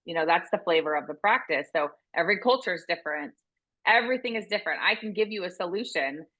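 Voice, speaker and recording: A woman who works as a veterinary business consultant, speaking as an interview guest in a loud, intense, passionate way. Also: on the low side, animated, quick pace, rough recording.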